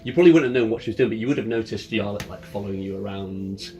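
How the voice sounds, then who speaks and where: A man casually narrating as game master at a tabletop game, often halting and repeating his words. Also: lowish voice, darkish tone, muffled recording. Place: in-person tabletop game session. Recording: clear music behind voice.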